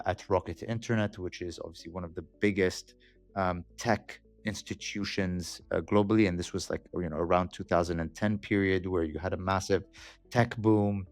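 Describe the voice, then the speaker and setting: Male podcast guest from Saudi Arabia, low pitch, halting conversational delivery, dry recording. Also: no room sound. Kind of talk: conversational interview, telling own story.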